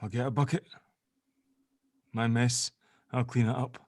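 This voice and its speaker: A man's voice: medium pitch, fairly even intonation, a little slow, darkish tone, dry recording, close to an everyday voice. An actor playing a nervous, guilt-stricken man who stammers and swears in a dramatic script read-through. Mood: weary, bitter.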